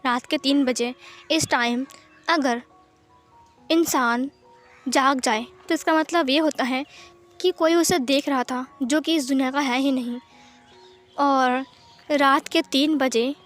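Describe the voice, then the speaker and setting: Woman playing anxious, curious young man, high-pitched. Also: measured pace, pauses often, faint music behind. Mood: brooding, curious. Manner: stammering repetition, questioning himself aloud.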